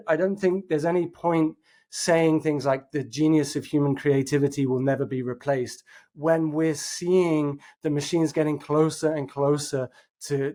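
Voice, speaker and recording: A man's voice: fairly high pitch, warm tone. A man speaking as a guest in a podcast interview, who explains his views earnestly and thoughtfully with frequent fillers and restarts. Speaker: guest, innovation executive. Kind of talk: casual interview chat.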